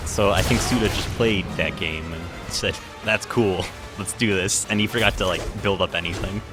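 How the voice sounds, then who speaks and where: Man, fairly low voice, casual joking game commentary, music clearly behind. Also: normal speed. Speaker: gameplay co-commentator. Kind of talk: casual joking let's play chat.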